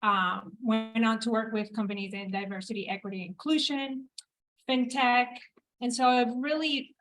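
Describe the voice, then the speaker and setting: Woman from venezuela on a panel, brighter higher voice, a bit hesitant. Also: low-quality recording. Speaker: panelist, founder. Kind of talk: panel discussion. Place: event space with a live audience.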